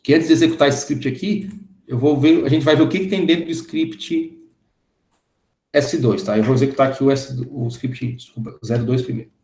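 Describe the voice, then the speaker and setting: A man's voice: mid-range voice, fairly quick, a little mellow, echoey room. A man in his thirties teaching a coding lesson, walking through it step by step and often checking in with the class. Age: 30s. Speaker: programming instructor. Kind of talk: screen-recorded coding lesson.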